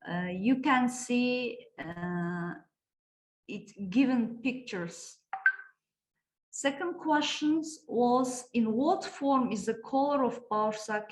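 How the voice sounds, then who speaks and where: A woman from Kazakhstan, presenting a teaching project at a conference and pausing often to search for words. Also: a little high. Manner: halting, searching for words, many hesitations. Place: conference room. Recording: echoey hall.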